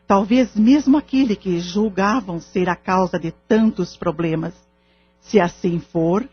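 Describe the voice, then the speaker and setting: A woman's voice: on the low side, husky. A woman with a Brazilian accent playing a serene, kindly séance leader, solemn and consoling in an audio drama. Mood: calm, reassuring. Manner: solemn, gentle, measured, consoling. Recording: slightly muffled, music behind.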